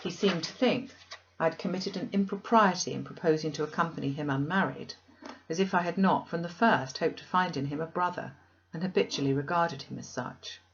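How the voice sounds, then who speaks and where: A woman reader playing an earnest, resolute young woman in a classic novel, measured and formal. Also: lowish voice, lo-fi sound, a little hiss or hum, close to an everyday voice. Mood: earnest, aggrieved.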